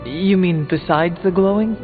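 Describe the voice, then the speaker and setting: An actor playing an earnest warrior hero in a superhero video game, with formal, deliberate speech. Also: male voice, high-pitched, natural voice. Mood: solemn. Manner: formal, deliberate, avoids contractions. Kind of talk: video game dialogue acting. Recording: thin narrow band, reverberant, music behind.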